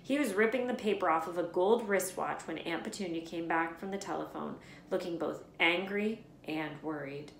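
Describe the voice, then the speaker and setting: A woman reading a children's story aloud in an expressive narrating style. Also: on the low side, moderate pace, gravelly, hardly any pauses, slight background noise. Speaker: read-aloud storyteller, teacher. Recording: echoey room.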